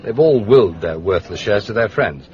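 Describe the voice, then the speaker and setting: A muffled radio-drama recording of an actor as a courteous, shrewd English gentleman sleuth. His upper-class voice is lowish and swings expressively in pitch. He is precise, polished and drily wry. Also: expressive, moderate pace, natural voice. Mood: dryly curious. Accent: British, upper-class English. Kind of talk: British radio detective drama acting.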